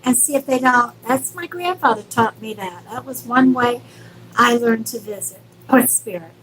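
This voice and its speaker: A woman's voice: on the high side, moderate pace, fairly bright tone, low-quality recording. A woman hosting a live stream as a psychic medium, speaking casually and affectionately to viewers with reassurance and endearments.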